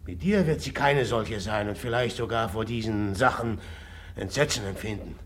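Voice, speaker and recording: A man's voice: on the low side, moderate pace, a bit bright, breathy edge. An actor playing a jealous, tormented young man in a 1950s German radio drama, brooding and bitter with sudden agitated outbursts. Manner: brooding, swings from whispering bitterness to bursts of agitation.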